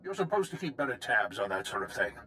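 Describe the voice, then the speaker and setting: An actor playing a self-serving, blustering military commander in a video game, with a theatrical manner. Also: male voice, mid-range voice, very animated, fast talker, sharp tone, a little hiss or hum. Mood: haughty, irritated.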